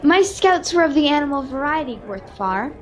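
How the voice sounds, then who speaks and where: A female performer playing a brisk, secretive noblewoman in a radio-style adventure serial. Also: high voice, expressive. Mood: measured. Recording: video call with background music.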